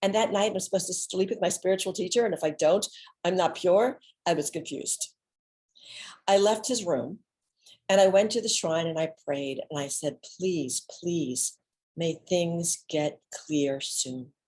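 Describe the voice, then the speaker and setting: An American woman giving a dramatic, autobiographical one-woman reading, theatrical and deliberate with dramatic pauses. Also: fairly low pitch, a little mellow. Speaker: solo performer, storyteller.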